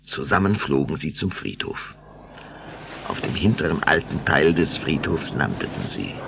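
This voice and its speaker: A man narrating a children's audio drama in German, with a dramatic, deliberate storytelling delivery. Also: rumbling low, sing-song, gravelly, noisy background.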